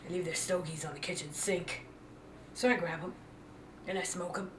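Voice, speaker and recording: A woman acts out a surly, smoking female dog in a sketch. She is deadpan and defiant, tossing out blunt questions. The sound is distant and roomy. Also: fairly low pitch, moderate pace, very noisy, lightly acted. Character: gruff, foul-mouthed, cigar-smoking female dog. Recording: echoey room, distant mic.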